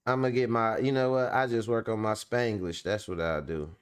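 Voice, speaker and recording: A man with a low, smooth, resonant voice, hosting a laid-back show. He talks in loose, joking, profane banter, easy and unhurried. The sound stays clean and full. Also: fairly even intonation. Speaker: show host. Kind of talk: casual chat.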